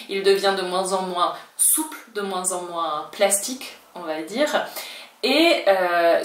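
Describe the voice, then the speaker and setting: A French-speaking woman explains to camera in a chatty, reassuring way, sometimes voicing her students' doubts. Her voice sits at a middle pitch. She sounds a little distant from the mic, in a room with a noticeable echo. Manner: chatty, reassuring, acts out learners' worries in quotes. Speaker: Spanish teacher. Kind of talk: talking-head language lesson.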